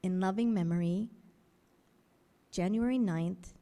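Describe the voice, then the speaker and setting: Woman giving a church announcement, warm mellow voice, long echo from the big sanctuary. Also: mid-range voice, unhurried. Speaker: church speaker dedicating a piano. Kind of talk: formal announcement in a worship service. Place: large sanctuary. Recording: long hall reverb.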